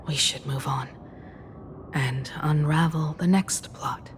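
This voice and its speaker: Slow, low-voiced, solemn woman. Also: fairly even intonation, slight background noise. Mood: pensive. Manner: measured, solemn, reflective. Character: composed, pensive adult woman in a fantasy game. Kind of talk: video game dramatic dialogue.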